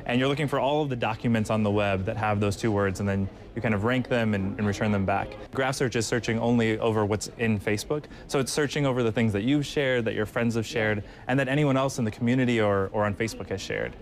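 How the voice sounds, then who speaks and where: A man's voice: fairly low pitch, quick pace, hardly any pauses. A man giving a casual, step-by-step product explanation in an interview. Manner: informal, explains step by step with examples. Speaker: product manager being interviewed.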